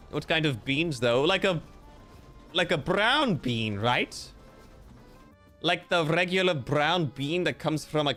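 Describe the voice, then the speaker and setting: A man chatting on a livestream, joking and answering viewer comments with theatrical, mock-grand flair. His slightly high voice swoops up and down in lively bursts. Soft music plays faintly behind him. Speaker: streamer. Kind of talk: casual livestream chat reading viewer comments.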